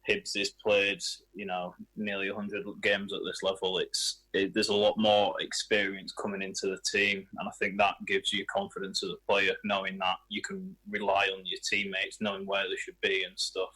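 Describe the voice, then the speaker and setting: A man's voice: low voice, completely flat delivery, moderate pace, hardly any pauses, pushed and bright, low-quality recording. A young man answering casual sports interview questions as a footballer. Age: young adult.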